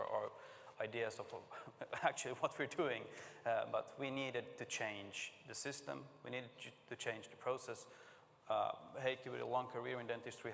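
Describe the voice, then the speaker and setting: Gravelly-voiced man in a reverberant hall. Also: medium pitch, fairly bright tone, slight background noise. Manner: plain-spoken, informal, with filler pauses. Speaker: conference presenter. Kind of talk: conference talk and Q&A. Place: large conference hall. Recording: echoey conference hall.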